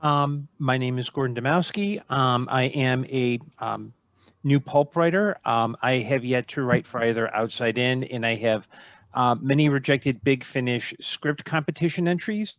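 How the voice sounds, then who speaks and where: A man's voice: mid-range voice, measured pace, no room sound. A man speaking casually and a little hesitantly as a panelist, on a lo-fi microphone. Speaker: panelist, pulp writer and meetup organizer. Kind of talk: casual self-introduction on a panel. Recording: dry, dull lo-fi microphone sound.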